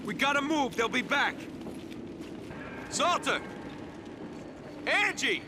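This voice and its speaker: An actor playing a gruff, commanding resistance squad boss in a video game, blunt and urgent. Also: male voice, very high-pitched, sing-song, slow pace, noisy background, exaggerated voice. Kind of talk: video game cutscene acting.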